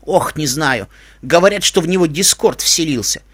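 A man's voice: on the high side, animated, moderate pace, fairly bright tone, no echo. A man voicing an old, weary, grave grandfather pony who speaks in a grim colloquial way for a storybook.

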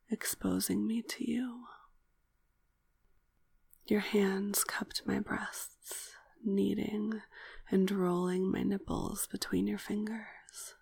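A woman narrating a scripted, sensual first-person story in a hushed, breathy whisper, speaking in short deliberate phrases. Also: mid-range voice, dry recording. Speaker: narrator of erotic audio story.

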